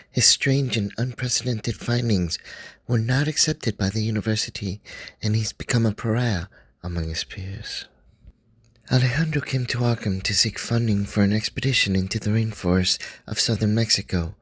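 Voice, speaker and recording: Man doing 1920s scholar, journal reading, measured, wry, low voice, dry sound. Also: husky, no room sound. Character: 1920s male scholar writing expedition journal. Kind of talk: first-person journal reading, radio drama.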